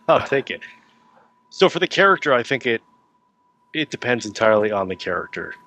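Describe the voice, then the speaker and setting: A man's voice: mid-range voice, sing-song, moderate pace, bright, forceful tone. A man speaking casually as a novelist guest in a podcast interview. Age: young adult to middle-aged, past 28.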